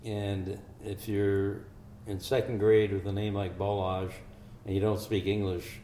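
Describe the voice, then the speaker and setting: An older man from Hungary, a physician, giving measured, explanatory answers in an interview. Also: fairly low pitch, flat delivery, dark tone, a little hiss or hum. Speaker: physician guest. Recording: echoey room with faint ambience.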